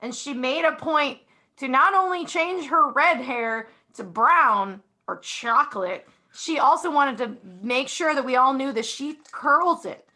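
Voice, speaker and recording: A woman chatting on a podcast, making sardonic, deadpan quips. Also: high-pitched, a little slow. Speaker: podcast guest. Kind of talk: casual snarky chat.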